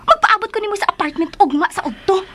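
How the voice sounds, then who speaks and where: A woman's voice: extremely high pitch, quick pace, pushed and bright. An actress playing a possessive, demanding young woman who pushes and confronts in a radio drama. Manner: pushy, confrontational. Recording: music bed behind the voice.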